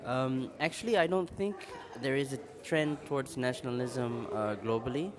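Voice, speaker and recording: Phd guy answering slow and calm, kinda far from the mic, crowd noise. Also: mid-range voice. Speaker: PhD student interviewee. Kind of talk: campus interview answers. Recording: distant from mic, crowd behind.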